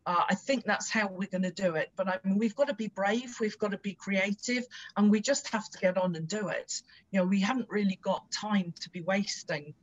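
A woman's voice: medium pitch, brisk, hardly any pauses, dull audio. A woman with an Irish accent, a guest speaking in a casual, encouraging interview chat. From Ireland.

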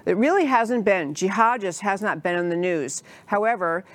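A woman's voice: mid-range voice, lively intonation, normal speed, dry recording. Chatty, enthusiastic woman talk-show host. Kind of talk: interview show introduction and questions.

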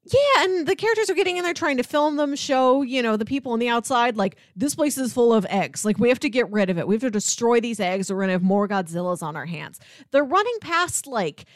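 A woman's voice: a little high, animated, brisk, dry recording, clean studio sound. A woman podcast co-host in casual film discussion, thinking aloud and talking through examples in a conversational way.

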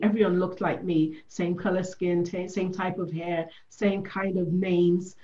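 A woman from Ethiopia, a coach, telling her story in a reflective, soft-spoken way during an interview. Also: lowish voice, lo-fi sound. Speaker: guest, coach. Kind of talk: conversational interview.

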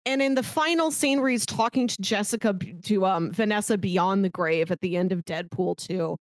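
A woman's voice: medium pitch, normal speed, no room sound. A woman co-hosting a podcast, talking casually and chattily about films, often laughing as she talks. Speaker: podcast co-host. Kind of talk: casual film discussion.

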